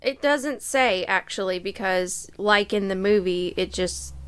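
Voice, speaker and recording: A woman's voice: medium pitch, unhurried, clean tone. A woman co-hosting a podcast, talking in a casual, conversational style. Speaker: podcast co-host. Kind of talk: casual chat.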